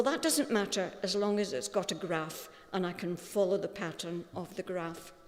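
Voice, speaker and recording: A woman with a Scottish accent giving a gentle, storytelling tribute at a funeral. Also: mid-range voice. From Scotland. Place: funeral chapel. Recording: long reverb of a large hall.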